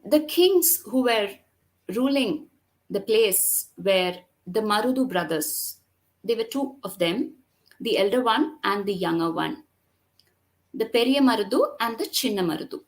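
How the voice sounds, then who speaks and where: Indian woman, tamil native, storyteller guest, measured, mid pitch, filler-heavy. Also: animated, poor audio. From Kerala, India. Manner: measured, explaining with frequent fillers. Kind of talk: conversational interview and storytelling.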